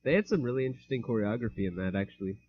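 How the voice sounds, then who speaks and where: A man's voice: medium pitch, expressive, very fast. A young man co-hosting a casual podcast, talking in a laid-back, hesitant way with many fillers. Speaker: podcast co-host. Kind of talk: casual chat. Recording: dull lo-fi mic.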